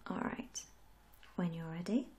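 A young woman in a soft-spoken ASMR roleplay, gentle and reassuring, with a breathy, often whispery voice. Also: lowish voice, steady tone. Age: young adult. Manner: gentle, unhurried, polite reassurance. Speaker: ASMR roleplayer as a tattoo artist. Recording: audible background noise and hiss.

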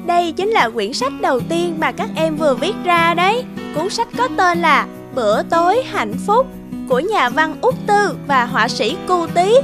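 A kind village big sister in a cartoon. Her voice is high, gently instructive and encouraging, with clear instrumental music playing behind it. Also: very animated, moderate pace. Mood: cheerful, encouraging. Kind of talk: cartoon voice acting.

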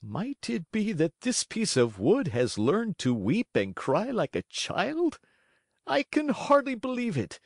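A frightened old carpenter who trembles and stutters, his voice climbing high and swinging wildly, as a male narrator acts him. The slow, dry reading sounds like a storybook read close to the mic. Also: huge pitch swings, dry recording, full character voice. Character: old carpenter, jittery and blustering.

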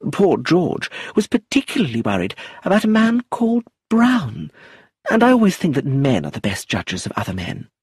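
A man's voice: on the high side, huge pitch swings, normal speed, breathy edge, no echo, studio quality, voice pushed up, heavily acted. A man voicing a fussy, gossipy older aunt who rambles in a prim, fluttery way. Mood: prim gossip.

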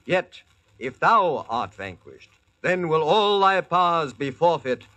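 A man's voice: fairly high pitch, very animated, slow, bright, forceful tone, no echo, exaggerated voice. An actor playing a lordly, fair-minded king, declaiming in archaic style in 1940s-style radio drama. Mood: commanding.

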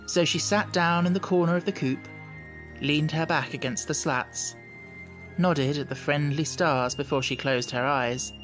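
A man's voice: fairly high pitch, measured pace, fairly bright tone, faint music behind, poor audio. A man reading scripted storybook narration as an audiobook narrator.

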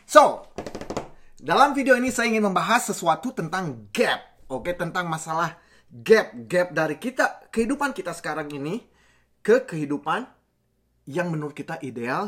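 Husky-voiced man with a cold, gesturing. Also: high-pitched. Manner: animated, gesturing with both hands, many restarts and "oke?" checks. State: recovering from a cold. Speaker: motivational channel host. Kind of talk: casual motivational talk to camera. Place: small home office, indoors. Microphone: camera at desk distance.

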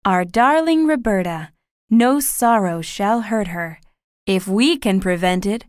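A man voicing a loving, cheerful mother of three in a raised falsetto with a sing-song delivery. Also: no room sound, studio quality, full character voice.

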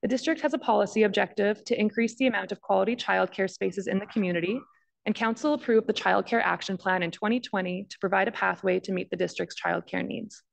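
A woman reads out a formal staff report at a public meeting. Her voice is mid-pitched with very heavy vocal fry. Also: fast talker, poor audio. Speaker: district staff planner presenting. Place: large council chamber. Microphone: podium microphone.

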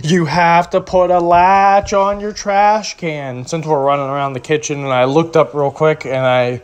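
A man's voice: a little high, steady tone, a little slow, clear, resonant tone. A young man from recording a joking, rambling voice-over at home, reviewing a public restroom in a mock-serious way.

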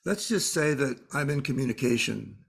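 An actor playing a world-weary spirit, blunt and cryptic, pronouncing grim truths matter-of-factly in a dramatised story. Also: male voice, mid-range voice, lively intonation, moderate pace, creaky, breathy edge. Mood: cryptic, philosophical.

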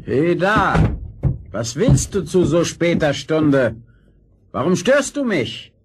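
A gruff, shaggy hermit from a fairy-tale radio play. He barks in a fairly high male voice that swings widely, stretching words slowly. The old recording is noisy. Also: sing-song. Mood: gruff, irritated. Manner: gruff, barking, drawn-out. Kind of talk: German fairy-tale audio drama acting.

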